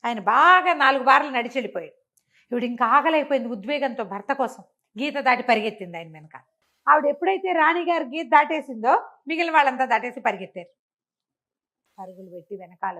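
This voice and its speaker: A woman's voice: on the high side, a little fast. An older woman telling a moral folk tale in an interview, acting out the characters' lines as she goes. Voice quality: animated, acts out the characters' lines within the telling. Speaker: storyteller.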